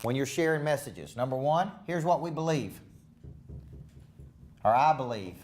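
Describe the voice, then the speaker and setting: Southern man, leadership coach, punchy seminar delivery, mid-pitched. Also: lively intonation, normal speed, a little mellow, a little hiss or hum. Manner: emphatic, repetitive, preacher-like cadence. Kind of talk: live seminar talk.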